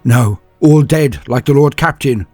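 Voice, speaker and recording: A man voicing a determined female ship's officer who shouts urgently over the battle. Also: medium pitch, unhurried, no echo, soft background music, pitched above the performer's own voice, close to an everyday voice. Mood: resolute.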